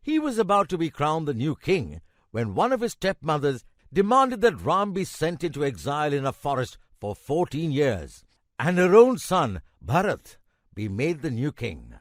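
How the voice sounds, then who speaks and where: Kindly old grandpa, cartoon storyteller, hugely varied intonation, dry close sound, emphatic pauses. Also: male voice, on the high side, dry recording. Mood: patient storytelling. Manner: measured, expressive, emphatic pauses. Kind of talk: children's storytelling narration.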